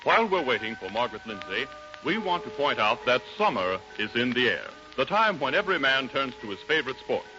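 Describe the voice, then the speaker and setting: American man, 1930s radio announcer, polished sales pitch, animated intonation, muffled old broadcast, faint music. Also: a little fast. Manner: emphatic, polished delivery. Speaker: commercial announcer.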